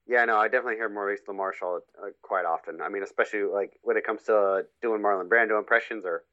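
A man with a deep, gravelly voice, co-hosting a podcast in laid-back, joking chat. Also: fairly even intonation, fast talker, dull audio. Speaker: podcast co-host.

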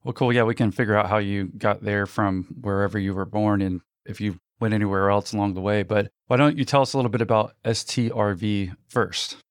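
A man's voice: on the low side, fairly even intonation, brisk, hardly any pauses. A man hosting a podcast, asking casual questions in a friendly, joking way. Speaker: podcast host.

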